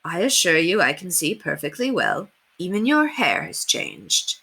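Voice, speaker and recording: A woman voicing a kind, refined headmistress in a storybook, gracious and measured, affectionate but firm. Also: lowish voice, huge pitch swings, vocal fry, pushed and bright, husky, full character voice, low-quality recording. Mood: gracious, admiring.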